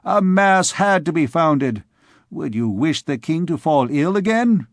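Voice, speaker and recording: A man's voice: fairly high pitch, lively intonation, unhurried, no echo, studio quality. A man voicing a tall, grave male tradesman who scolds an old woman in an old storybook tale. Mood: stern. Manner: grave, reproving. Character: tall grave male furrier, stern bourgeois.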